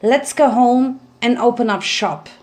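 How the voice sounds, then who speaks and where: A gentle female pony, a baker's wife, voiced by a woman reader. She speaks in a fairly high voice with a soothing, pleading manner, as in a storybook drama. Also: unhurried, natural voice.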